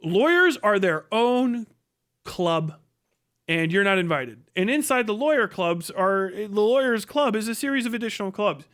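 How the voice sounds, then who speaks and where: A man's voice: high voice, expressive, moderate pace, fairly bright tone. A man giving casual livestream commentary in a blunt, profane, rambling way. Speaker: livestream host.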